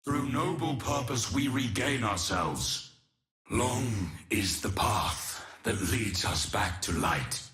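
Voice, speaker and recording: A noble fallen warrior of a fantasy game, solemn and immortal. His voice is fairly low and forceful, with swooping, theatrical declamation. Each phrase is weighed like a vow. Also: lively intonation, slow, echoey room. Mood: noble resolve.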